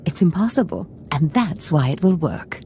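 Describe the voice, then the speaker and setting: A woman's voice: deep voice, big pitch swings, normal speed, dark tone, a little hiss or hum. A woman playing a haughty, scheming Sith master in a video game, theatrical and calculating. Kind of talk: video game dramatic acting.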